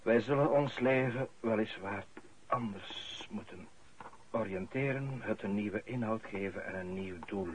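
An actor playing a solemn, fatherly clergyman in a Dutch radio drama, with deliberate, preacherly phrasing. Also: fairly low pitch, natural voice. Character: solemn male canon, measured and fatherly. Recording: noisy old radio drama recording.

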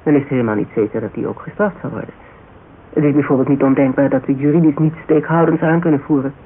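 An actress playing a cautious, sceptical female private detective in a conversational Dutch radio drama, measured and probing. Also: a bass voice, fairly even intonation. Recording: narrow, faintly noisy old radio broadcast.

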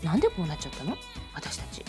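A woman's voice: sits low, huge pitch swings, rapid-fire, over music. An actress playing a playful, coquettish young woman in a radio drama, casual and teasing.

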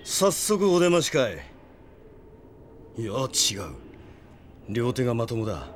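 A man's voice: mid-range voice, big pitch swings, measured pace, a little mellow, slight background noise. An actor playing a proud, hot-headed young man bent on revenge in an audio drama, brash and defiant. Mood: wary. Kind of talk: Japanese anime-style audio drama acting.

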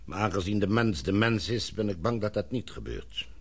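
An actor playing a gloomy, businesslike older father and boss in a radio play, measured and authoritative. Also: fairly low pitch, lively intonation, slightly rough. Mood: grave, pessimistic. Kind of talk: radio drama acting.

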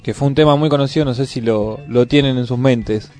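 A man's voice: mid-range voice, a little fast, darkish tone. A man with an Argentine accent hosting a radio music show, commenting in a conversational way. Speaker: radio host.

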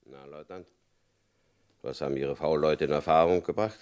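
An actor playing a stern, shrewd male FBI agent in a radio crime drama, speaking in a calm, probing, knowing way. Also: extremely low pitch, normal speed. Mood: determined.

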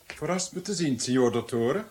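An actor playing a stern, zealous Italian friar in a radio drama, speaking in a formal, accusing, haughty way. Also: male voice, medium pitch, lively intonation, normal speed, darkish tone, slight background noise, natural voice. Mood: accusing, grave.

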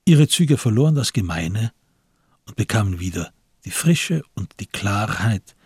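A man with a very husky, breathy, lowish voice narrates a literary crime story for radio. The delivery is scripted storytelling, and the sound is close and dry. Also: sing-song, measured pace, no room sound.

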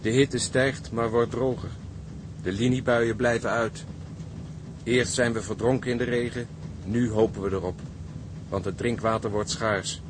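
A man's voice: mid-range voice, fairly even intonation, measured pace, close to an everyday voice. Man acting sober sailor narrating a grim sea diary, radio play, noisy background. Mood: grave, brooding. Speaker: narrator and ship's officer keeping a diary. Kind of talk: audio drama, dramatic diary narration. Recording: clearly noisy audio track.

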